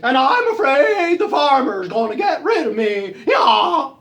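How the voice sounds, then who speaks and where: A performer voicing an aging donkey in a live folktale, halting and worried about being cast off. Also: sits high, big pitch swings, fairly bright tone, voice pushed up, heavily acted. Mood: worried lament. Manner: halting, drawn-out complaining. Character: aging donkey, anxious, rambling, afraid of being discarded. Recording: roomy, recorded at a distance.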